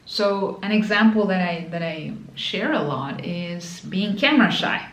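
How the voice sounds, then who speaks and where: A woman's voice: fairly low pitch, expressive, a little slow, a bit bright, roomy sound. A woman with a Spanish accent, Spanish her first language, giving an emphatic motivational talk to camera as a business mentor, repeating key phrases and addressing the viewer directly.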